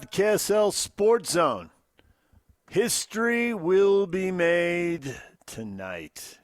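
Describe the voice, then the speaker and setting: A man's voice: a little high, dry recording, studio quality. A man working as a sports radio host, moving between conversational talk and emphatic station announcing.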